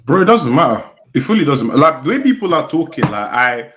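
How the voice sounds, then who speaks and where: A man's voice: on the high side, big pitch swings, fast talker, background noise. A man on a football podcast panel, speaking emphatically and casually over a video call, often saying "like". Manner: emphatic, sprinkles "like" and "bro". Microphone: headphones on a video call.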